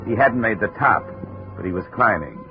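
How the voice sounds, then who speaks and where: An American actor playing a dry, world-weary insurance investigator in a 1950s radio detective drama. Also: male voice, lowish voice, lively intonation, normal speed, over music, lightly acted. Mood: wry narrating. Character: wry, hard-boiled male insurance investigator narrating his case. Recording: dull, hissy old radio recording.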